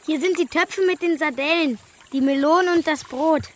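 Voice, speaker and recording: A woman's voice: high voice, normal speed, a little hiss or hum, natural voice. A woman playing an eager, helpful young boy in a German radio play.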